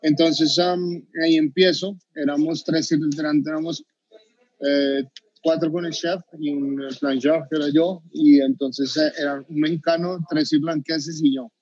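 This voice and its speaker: A man's voice: on the high side, steady tone, a little slow, a little mellow, slightly rough, no room sound. A man in his thirties with a Bogotá Colombian accent, a chef telling his story on a video call, hesitant with many fillers and restarts. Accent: Bogotá Colombian Spanish. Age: 30s. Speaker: chef guest. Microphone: video call webcam. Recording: dull dry video call audio.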